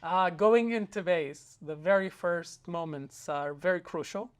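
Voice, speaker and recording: A man's voice: sits high, unhurried, smooth. A young man from Israel, an interview guest telling a personal story in an earnest way, with frequent hesitant fillers and restarts.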